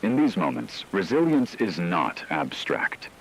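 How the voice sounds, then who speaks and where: A man playing a grave radio news anchor, reading scripted emergency bulletins in a measured, formal way. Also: sits low, big pitch swings, a little hiss or hum, heavily acted, low-quality recording.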